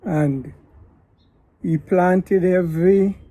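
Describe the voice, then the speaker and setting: An older man from Guyana, a pastor telling his daughter his life story in a slow, deliberate way. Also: sits high, warm tone, dry recording. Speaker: pastor and father of the host. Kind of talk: reflective family interview.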